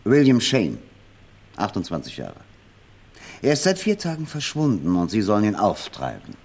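A man's voice: on the low side, wildly swooping pitch, normal speed, vocal fry, a little mellow, breathy edge, exaggerated voice. An actor playing a stiff, condescending rich businessman in a 1970s radio crime play, speaking formally. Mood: curt, businesslike. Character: haughty rich businessman, stiff male client of detective. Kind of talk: 1970s radio drama acting.

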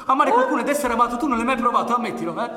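Casting: male actor as proud, brooding anarchist outlaw, higher-pitched, theatrical radio drama, reverberant room. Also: lively intonation, bright, forceful tone, full character voice. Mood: furious, accusing. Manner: dramatic, deliberate. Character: intense male anarchist bandit, proud, bitter and commanding. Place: live radio performance in an echoey space. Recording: reverberant echoey hall with music.

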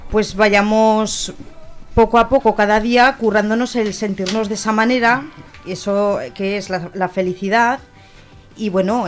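A woman with an accent from Spain giving an informal personal reflection in a measured way, phrase by phrase. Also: mid-range voice. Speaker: discussion participant. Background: faint music.

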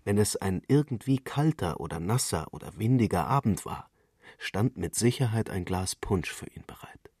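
A man with a very low, rough-edged voice narrates literary fiction. He reads in a deliberate, measured storytelling way, captured close and dry with no room sound. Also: expressive, a little mellow, no room sound. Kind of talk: literary scripted narration, radio reading.

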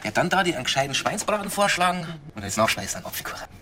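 Bavarian guy in a tv scene, talks fast and bouncy, noisy. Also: fairly high pitch, sing-song. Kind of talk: acted TV series dialogue. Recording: noisy TV clip.

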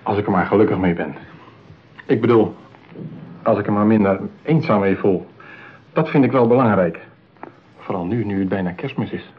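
A man's voice: fairly low pitch, moderate pace, long pauses, slightly rough. An actor playing a shy, lonely male customer in a radio play, speaking in a hesitant, bashful way. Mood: lonely, wistful. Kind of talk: radio drama acting. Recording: tinny, narrow-band old radio play.

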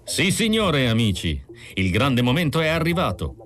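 A man's voice: mid-range voice, sing-song, normal speed, fairly bright tone, slight background noise, voice pushed up. A man voicing a gushing, self-important television showman with a theatrical, emphatic announcer delivery, in an audiobook story. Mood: excited, showy.

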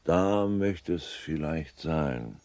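An actor playing an obliging, deferential seaside local in a literary radio play, talking in a leisurely, folksy way. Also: male voice, very deep voice, lively intonation, very slow, a little mellow, no echo, full character voice. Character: obliging male seaside local advising a lady on lodgings.

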